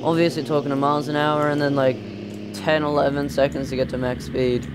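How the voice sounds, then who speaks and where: A man's voice: on the high side, level tone, a little fast, background noise. A man giving casual, off-the-cuff commentary as a gaming video host, in a hesitant, rambling way. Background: car engine sounds from a driving game.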